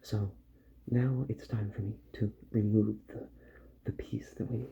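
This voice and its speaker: A man speaking softly, slowly and soothingly in an ASMR roleplay as a repair doctor, often slipping into a whisper. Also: fairly low pitch, steady tone, creaky, lo-fi sound, noisy background.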